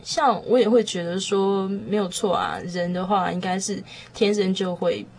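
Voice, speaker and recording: A woman's voice: mid-range voice, lively intonation, moderate pace, darkish tone, slightly rough. A young woman with a Taiwanese Mandarin accent in casual reflective discussion, tentatively searching for words. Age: young adult. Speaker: guest on a discussion show.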